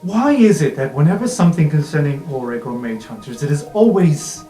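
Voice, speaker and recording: A man's voice: a little high, expressive, brisk, mellow, lightly acted. A man playing a blunt, boastful, battle-seasoned magic-school professor in a tabletop game, dramatic and dry. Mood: dry, concerned. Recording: roomy sound with music underneath.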